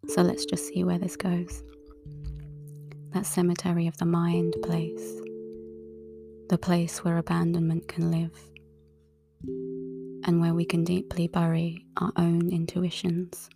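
Slow, gravelly-voiced woman murmuring softly. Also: on the low side. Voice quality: relaxing voice. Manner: slow, calm, breathy half-whispered delivery. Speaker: tarot reader and writer. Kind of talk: soft-spoken monologue. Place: outdoors in a wooded area. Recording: clear guitar music underneath. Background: guitar music.

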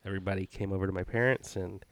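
A young man co-hosts a casual podcast in a laid-back, plain-spoken way. His voice sits on the low side. The recording is dry and close. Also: fairly even intonation, very fast, dry recording. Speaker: podcast co-host.

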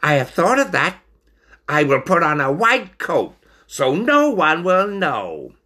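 A man voicing an excitable, cheeky storybook stoat who talks eagerly and repeats himself. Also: on the high side, slow, voice pushed up, full character voice. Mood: excited, eager. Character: excitable, cheeky male stoat, quick-talking schemer.

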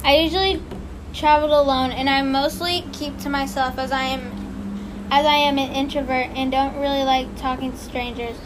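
A woman with a high voice reads a story aloud in a loose, casual way, often stumbling, restarting and apologizing. A steady hiss of noise sits under the recording. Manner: halting, apologetic, laughs at her own slips. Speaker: podcast co-host reading a story.

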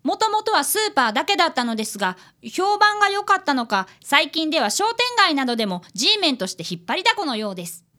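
A woman's voice: high voice, sing-song, fast talker, bright, forceful tone, full character voice. A woman playing an earnest, polite fifth-grade boy detective apprentice in a radio drama, who also narrates. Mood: earnest narrating.

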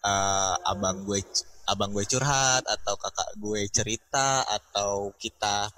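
A young man talks in an easy, slangy way and often pauses on fillers. His voice sits low, but it has a bright, forceful edge. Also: poor audio. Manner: relaxed slangy talk with fillers. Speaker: panel guest. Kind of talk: casual chat.

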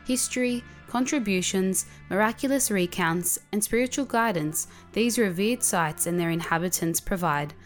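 A woman's voice: mid-range voice, moderate pace, few pauses, faint music behind. A woman presenting a series, reading a formal, scripted introduction to a segment.

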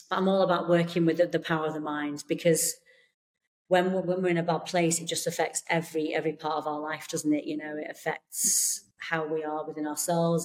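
A British woman from the UK, a therapist guest chatting on a podcast over a remote call, warm and reassuring with many fillers and restarts. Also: low-pitched. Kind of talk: casual interview chat.

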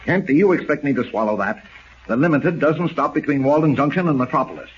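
An actor playing a gruff, impatient, skeptical newspaper boss in a 1940s radio serial. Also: male voice, on the high side, expressive, quick pace, lightly acted. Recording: dull, noisy 1940s radio recording.

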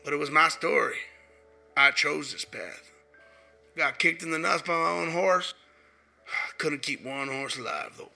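A hoarse man voicing a rough Southern outlaw cowboy, drawling through a rambling diary confession. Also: a little high, unhurried, long pauses, pushed and bright, faint music behind, heavily acted. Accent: put-on American Southern.

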